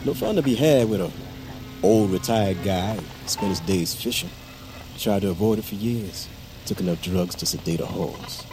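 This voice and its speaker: A man's voice: sits low, normal speed, natural voice. An actor playing a brooding, middle-aged American war veteran and father who is unravelling, in an audio drama. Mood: brooding confession. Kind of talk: audio fiction drama acting. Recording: dramatic music under the voice.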